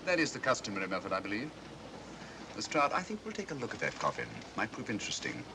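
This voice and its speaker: A cool British gentleman detective, quick and rather low, with lively inflection. He is clipped, dry and astute, in 1940s film style over a noisy train rumble. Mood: cool, discreet. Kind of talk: 1940s mystery film acting.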